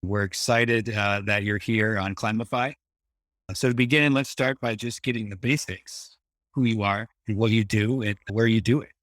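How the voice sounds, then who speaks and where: A man's voice: on the low side, fairly even intonation, moderate pace, fairly bright tone, dry recording. A man hosting a podcast as a design educator, reading an upbeat scripted intro before chatting with his guest. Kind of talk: scripted intro then casual interview.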